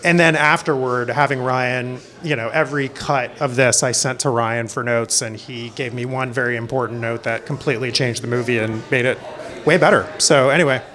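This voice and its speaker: A man's voice: mid-range voice, steady tone, normal speed, fairly bright tone. A middle-aged American man from Florida, a film director talking casually and telling personal stories as an interview guest. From Florida, United States. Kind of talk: casual panel talk, personal storytelling.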